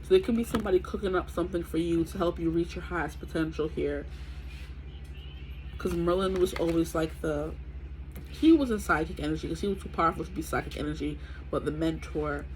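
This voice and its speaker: A woman's voice: low-pitched, a little fast, a little mellow, a little hiss or hum, low-quality recording. A woman in her twenties giving a chatty, rambling tarot reading with a stuffy nose from allergies. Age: 20s. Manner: chatty, rambling, many fillers. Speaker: tarot reader. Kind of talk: casual tarot reading to camera.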